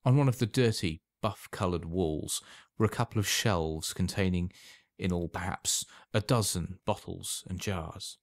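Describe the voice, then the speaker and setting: A man's voice: low voice, a little slow, no echo, studio quality. A man reading a scripted short story aloud as a storyteller.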